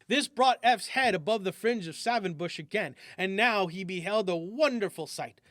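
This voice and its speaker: A man reading a story aloud, lively and expressive, lifting his voice for the characters' shouts. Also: moderate pace, dry recording, studio quality. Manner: lively, raises pitch and volume for shouted character lines.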